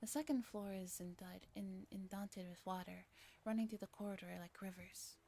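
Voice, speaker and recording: A woman reads a story aloud in a soft-spoken ASMR style, gently and with an occasional stumble. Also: mid-range voice, normal speed. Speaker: streamer reading a visual novel aloud.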